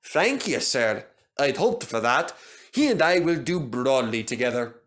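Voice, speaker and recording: A man voicing an excitable, earnest Scottish steam engine in a children's storybook. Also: mid-range voice, unhurried. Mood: grateful.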